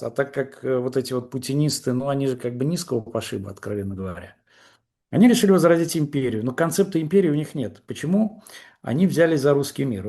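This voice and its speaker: A man with a gravelly, dark, mellow voice talks through a political argument as an interview guest. He pushes his points with conviction but keeps stalling on fillers and restarting his phrases. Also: mid-range voice. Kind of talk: political conversation.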